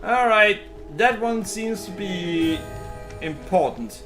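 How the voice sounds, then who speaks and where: A man streams a game with casual, chatty commentary. His voice sits high for a man and moves around with lively swings. Faint game music plays behind him. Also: takes it slowly. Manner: chatty, reacting to chat. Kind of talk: casual live gameplay commentary. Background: video game music.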